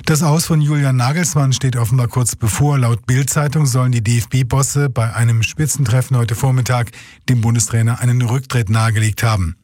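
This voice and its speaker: Husky male newsreader, dry studio sound. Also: medium pitch, fairly even intonation, normal speed, a little mellow, no room sound. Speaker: news anchor. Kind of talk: scripted radio news reading. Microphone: close studio microphone.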